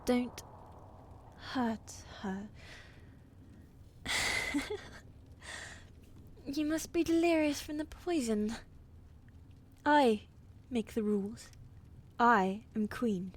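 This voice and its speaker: Woman acting high-voiced imperious medieval queen. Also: drawn-out words, long pauses. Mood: imperious anger. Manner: imperious, threatening, softening into tenderness. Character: fierce commanding young evil queen, tender toward her husband. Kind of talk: medieval fantasy ASMR audio roleplay.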